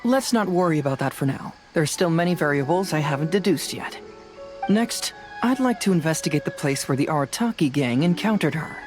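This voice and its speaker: A man voicing a calm young detective in a video game dub, reasoning aloud in a deliberate way. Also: fairly high pitch, over music, lightly acted. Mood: deducing.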